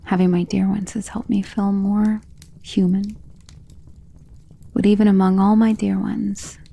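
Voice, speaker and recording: A woman playing a centuries-old, tender and protective vampire in an intimate ASMR romance roleplay, speaking softly and earnestly, often in a whisper. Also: mid-range voice, flat delivery, a little slow. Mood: wistful, confiding. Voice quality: soft, breathy, often dropping to a whisper.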